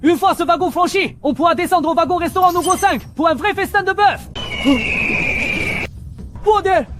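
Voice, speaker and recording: An actor voicing a brash, boisterous, food-loving young male fighter in a dubbed animated series, excitable and slangy. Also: very high voice, normal speed, a little hiss or hum. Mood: excited.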